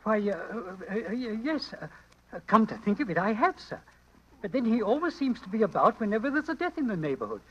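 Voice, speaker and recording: A man's voice: high voice, very animated, a little fast, a bit bright, husky, heavily acted. A man playing a formal, anxious manservant in an old radio drama, deferential and prone to stammering when uneasy. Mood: flustered. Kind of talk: 1940s radio acting. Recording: dull, faintly noisy old radio recording.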